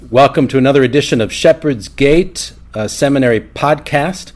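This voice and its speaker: A man's voice: mid-range voice, a little mellow. A man hosts a conversational podcast interview as a seminary rector.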